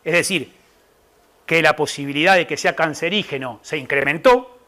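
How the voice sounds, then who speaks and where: A man's voice: a little high, normal speed, bright, forceful tone. A middle-aged man from Argentina with an Argentine Spanish accent, a nutritionist giving an informal talk to a live audience, explaining things step by step and checking in with the listeners.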